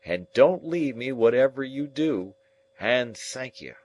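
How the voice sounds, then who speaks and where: A man's voice: mid-range voice, animated, slow, darkish tone, dry recording, voice pushed up, rough recording. A man voicing a delirious, terrified man who pleads and screams at a ghost he believes he sees. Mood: weak, clinging.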